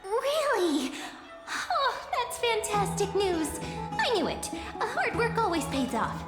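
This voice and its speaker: A woman voicing a small, cute, cheerful companion creature in a video game, with a squeaky childlike voice and a bubbly, chirpy manner. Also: sing-song, takes it slowly, exaggerated voice. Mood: proud, cheerful. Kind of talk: video game character acting. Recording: echoey hall reverb under loud music.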